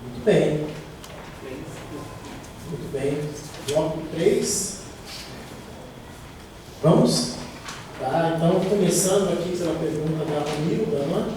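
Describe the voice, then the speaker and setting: A man's voice: fairly high pitch, takes it slowly, long pauses. A man answering students' questions, explaining at length and often checking in with tag questions. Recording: echoey room, mic far off, faint noise.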